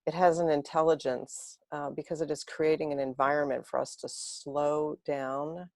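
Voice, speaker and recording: A woman's voice: low-pitched, little pitch movement, moderate pace, a little mellow, no room sound. A woman guest speaking earnestly and reflectively, building long flowing thoughts in conversation. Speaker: interview guest.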